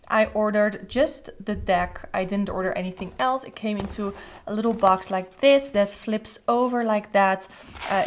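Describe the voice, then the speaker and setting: Dutch-accented woman rambling through a tarot vlog, lots of ums and restarts, middle pitch. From Netherlands. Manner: chatty, rambling, frequent fillers and restarts. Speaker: tarot channel host. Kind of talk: casual deck flip-through.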